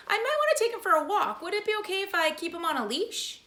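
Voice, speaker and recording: A woman's voice: very high voice, sing-song, fast, pushed and bright, pitched above the performer's own voice, exaggerated voice. A woman voicing an earnest, eager family man who is a house painter, recorded in an echoey room.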